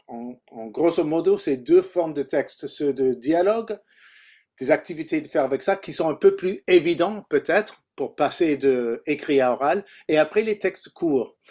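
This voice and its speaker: A man from Canada with a slightly high voice leads an informal workshop as a language teacher. His native English shows in a mid-Atlantic English accent. He speaks haltingly, feeling for the next word in his second language. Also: a little mellow. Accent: mid-Atlantic English accent in French.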